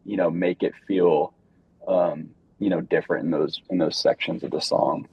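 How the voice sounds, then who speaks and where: A man's voice: deep voice, fairly even intonation, moderate pace, vocal fry, slightly rough. A young man, a band member guest, chatting casually and rambling with lots of fillers. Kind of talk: casual interview chat. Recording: dull lo-fi sound.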